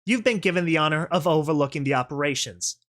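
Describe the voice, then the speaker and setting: A man's voice: fairly high pitch, fast talker, fairly bright tone, close to an everyday voice. A man voicing a menacing female pegasus boss in a story reading, blunt, commanding and sneering. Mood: sarcastic, annoyed. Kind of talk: dramatic audio fan-fiction reading.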